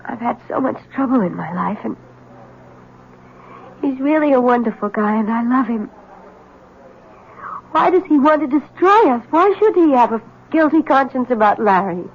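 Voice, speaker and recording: An actress playing an anguished, pleading wife in a 1970s radio drama, on a tinny recording. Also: female voice, a little high, expressive, moderate pace, long pauses, a little hiss or hum. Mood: troubled. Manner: pleading, emotional. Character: anguished, frightened wife suspected of being a witch.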